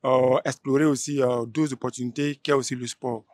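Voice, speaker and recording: A man with an Ivorian accent giving an earnest, semi-formal spoken tribute in a measured way. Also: mid-range voice, fairly even intonation, no room sound, clean studio sound.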